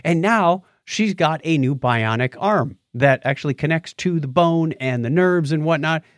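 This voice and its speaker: A man hosts a casual science podcast from a dry, close-sounding studio. His pitch swings widely as he tells stories and summarizes news. He has a jokey, animated delivery. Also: moderate pace, dry recording. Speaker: co-host of a science and tech show. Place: home or podcast studio with acoustic foam.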